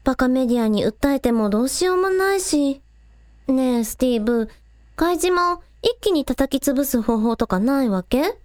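High-pitched, plucky young woman voiced by an actress. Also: expressive, normal speed, darkish tone. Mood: inquisitive. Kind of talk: amateur radio drama dialogue.

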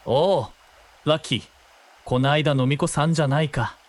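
Cocky male thug acted by a man, slightly high pitch, taunting, coarse slang, radio drama. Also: fairly even intonation, normal speed, lightly acted. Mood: smug, leering. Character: cocky, menacing male thug pestering a shrine maiden. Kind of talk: Japanese radio drama acting.

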